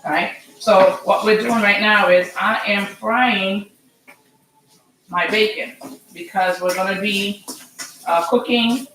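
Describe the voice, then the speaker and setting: Woman from Senegal, 40s, home cook, rambling live-stream talk, slightly hoarse, mid pitch, faint background hum. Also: fairly even intonation, slow, fairly bright tone, roomy sound, rough recording. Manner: chatty, rambling, frequent ums and "okay?". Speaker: home cook, channel host. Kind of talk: casual live cooking stream. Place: home kitchen. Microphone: computer or phone camera mic.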